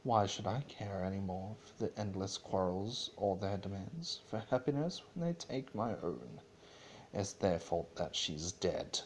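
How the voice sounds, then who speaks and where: A man's voice: deep voice, animated, measured pace, slight background noise. A man voicing a grieving, wrathful elder pony princess in a bitter, anguished manner for a story reading. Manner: bitter, anguished, whispering to shouting.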